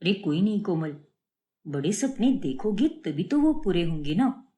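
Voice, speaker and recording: A woman's voice: fairly low pitch, sing-song, measured pace, mellow. A woman voicing a kind, easygoing young male shopkeeper in a cartoon story, casual and reassuring.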